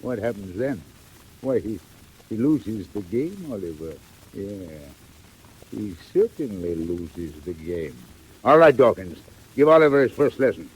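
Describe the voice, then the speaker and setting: Wily old crime boss, lowish voice, falsely genial, drawn-out coaxing, vintage 1940s radio drama with light surface noise. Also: male voice, big pitch swings, a little mellow. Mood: brisk, commanding. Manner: oily, theatrical, drawn-out coaxing. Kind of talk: 1940s audio drama acting. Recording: noisy old 1940s record.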